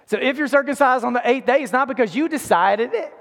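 A male preacher in his forties speaks in a notably high register. His delivery is conversational yet emphatic, circling back over phrases to give them weight. Long reverb from a large hall trails his words. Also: lively intonation, fast talker, a bit bright. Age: 40s. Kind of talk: conversational sermon. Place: large indoor room before an in-person audience.

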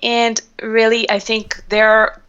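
A Swiss woman, not a native English speaker, answering as a guest on a podcast interview, thoughtful, with pauses and fillers. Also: fairly high pitch, completely flat delivery, a bit bright, clean tone, muffled recording. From Switzerland. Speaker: guest, social media trainer.

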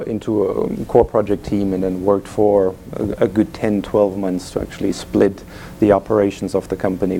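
A man's voice: on the low side, vocal fry, dark tone, slight background noise. A middle-aged man from Germany with a German accent, speaking casually onstage to a live audience as guest speaker, with many "you know" fillers. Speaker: guest speaker, sales executive. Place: event room before a live audience.

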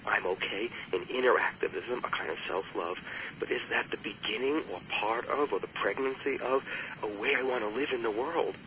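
A man who is an author and guest on an interview show, talking in a measured, earnest way and often posing rhetorical questions. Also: mid-range voice. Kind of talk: reflective interview talk. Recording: muffled radio sound with faint hiss.

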